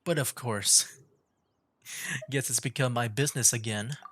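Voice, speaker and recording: Casual male streamer, ordinary mid pitch. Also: unhurried, a bit bright, slightly rough, dry recording. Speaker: streamer, gamer. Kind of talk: casual gameplay commentary.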